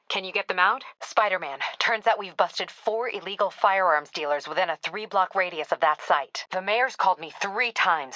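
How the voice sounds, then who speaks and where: A woman playing a tough, wry police captain in a video game, brisk, clipped and dryly sardonic. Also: medium pitch, sharp tone, dry recording, lightly acted, rough recording. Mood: terse, stressed.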